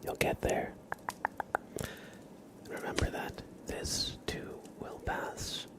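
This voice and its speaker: A man speaking in a breathy whisper, gently and reassuringly, in halting phrases as an ASMR streamer giving positive affirmations.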